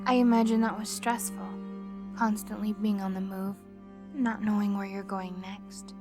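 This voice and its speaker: A woman voicing a reserved young female pony who speaks in a blunt, formal, deadpan way in an audio drama. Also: medium pitch, moderate pace, over music, lightly acted. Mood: dry curiosity. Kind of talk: acted dialogue in a fan-made audio drama.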